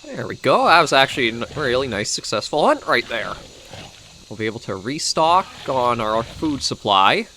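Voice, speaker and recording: A man's voice: medium pitch, big pitch swings, normal speed, pushed and bright, a little hiss or hum, rough recording. A man with a Canadian accent who is coming down with a cold, giving casual, rambling gameplay commentary as he thinks aloud. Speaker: gaming video host.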